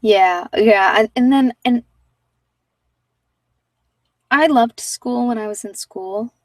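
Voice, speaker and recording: A woman speaks softly in a casual livestream chat, often dropping toward a whisper, rambling reflectively and trailing off mid-thought. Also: fairly high pitch, takes it slowly, no echo, distant mic. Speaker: ASMR meditation host.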